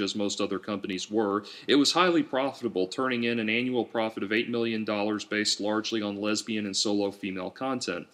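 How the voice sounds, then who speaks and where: A man reading his own novel as scripted audiobook narration, in a measured, literary storytelling manner. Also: lowish voice, a bit bright.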